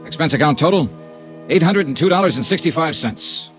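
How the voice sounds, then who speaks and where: An actor playing a hard-boiled insurance investigator, clipped and firm, in a 1950s radio drama with narration. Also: male voice, fairly high pitch, lively intonation, fairly quick, soft background music, natural voice. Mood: narrating.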